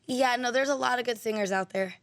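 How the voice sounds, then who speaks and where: A woman in her twenties from Los Angeles, a guest rapper with a raspy voice that is partly worn out, giggling and saying "like" a lot in casual chat. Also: on the high side, quick pace. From Los Angeles, California. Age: 20s. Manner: giggly, lots of likes and ums. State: losing her voice. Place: radio studio. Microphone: studio mic with headphones.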